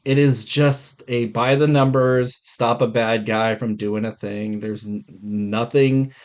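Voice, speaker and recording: A man's voice: mid-range voice, steady tone, slow pace, a little mellow, smooth. A man co-hosting a podcast, chatting casually about films in a blunt, sweary way. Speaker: podcast co-host. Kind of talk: casual film review chat.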